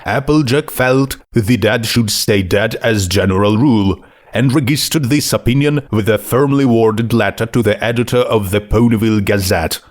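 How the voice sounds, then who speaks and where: A man narrating a scripted story in a measured, deliberate storytelling manner. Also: fairly low pitch. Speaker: fan fiction audiobook narrator.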